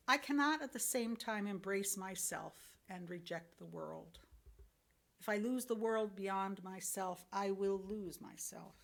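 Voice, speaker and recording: Creaky-voiced woman reading a sermon. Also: medium pitch. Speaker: lay speaker at a church service. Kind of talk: sermon, read from a script with short personal commentary.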